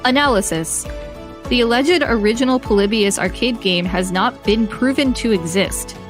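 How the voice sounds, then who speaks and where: A woman co-hosting a podcast, reading an encyclopedia article aloud in a clear, measured way with brief reactions. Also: on the high side, over music.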